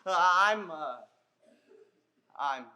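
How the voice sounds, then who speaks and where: A woman's voice: lowish voice, lively intonation, very noisy. A woman playing a nervous, forgetful, starstruck visitor in a stage farce, fumbling for words. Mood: nervous, adoring. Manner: fumbling, halting. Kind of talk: projected comic stage farce acting. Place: indoor theater stage. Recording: distant microphone in a theater.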